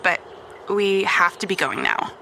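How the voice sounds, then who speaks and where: An actress playing an exasperated homeowner, curt and sarcastic, in a naturalistic audio drama. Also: female voice, mid-range voice, measured pace, sharp tone, a little hiss or hum, low-quality recording. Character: exasperated female homeowner firmly refusing a pest.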